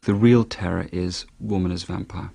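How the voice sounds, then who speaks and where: A man's voice: low-pitched, steady tone, moderate pace, gravelly, warm tone. A man giving reflective commentary in an interview, thoughtful and musing.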